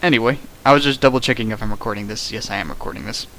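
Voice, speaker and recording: A man's voice: on the low side, racing, bright, forceful tone. A man reading a fan fic aloud for a stream, breaking off into sarcastic comments and sudden sing-song bits. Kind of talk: comedic dramatic reading with riffing.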